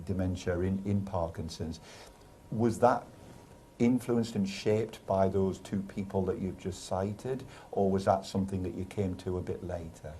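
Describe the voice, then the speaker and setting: Low-voiced British man interviewing a colleague. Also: slight background noise. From United Kingdom. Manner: warm, encouraging questions with hesitations. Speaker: interviewer, neurologist. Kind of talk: oral history interview.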